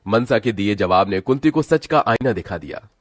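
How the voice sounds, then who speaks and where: A man narrates a dramatic scripted story in a fairly low voice. He works as the storyteller, and the recording is very dry, with no room sound. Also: expressive, moderate pace, no echo.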